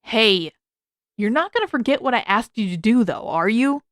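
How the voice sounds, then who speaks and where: A woman voicing a cocky, teasing teenage boy who protects his own, in animated, sarcastic audiobook banter. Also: on the high side, moderate pace, no room sound, clean studio sound. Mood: brash. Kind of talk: audiobook character voice.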